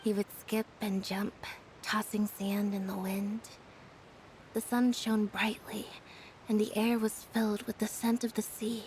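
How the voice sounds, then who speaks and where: A woman voicing a flat-spoken melancholy girl. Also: medium pitch, unhurried, slight background noise, close to an everyday voice, low-quality recording. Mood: wistful. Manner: flat, soft, sometimes whispered. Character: quiet young woman, melancholy and deadpan.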